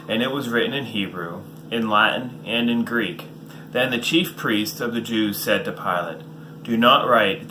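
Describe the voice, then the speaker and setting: Male scripture reader, lowish, forceful and bright, roomy sound with faint noise. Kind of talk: emphatic scripture reading.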